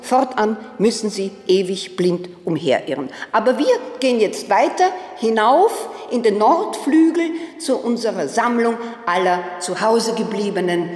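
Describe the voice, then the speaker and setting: A woman's voice: mid-range voice, animated, a little slow, few pauses, a little mellow, close to an everyday voice. A woman playing a museum guide who explains a collection in a scripted radio drama. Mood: explanatory. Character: female museum guide leading visitors through collection. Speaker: tour guide. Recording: echoey hall with long reverb.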